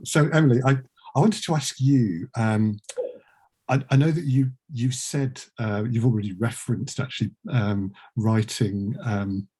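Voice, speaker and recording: A man hosting a casual chat as interviewer, halting and often repeating his words. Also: medium pitch, dark tone, slightly rough. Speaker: producer and interview host.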